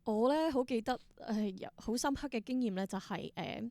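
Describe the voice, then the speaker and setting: A woman's voice: a little high, animated, moderate pace, dark tone, dry recording. A woman with a Hong Kong Cantonese accent speaking as an interview guest, telling stories casually and acting out bits of dialogue. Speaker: interview guest, theatre performer and creator. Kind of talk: casual conversational storytelling.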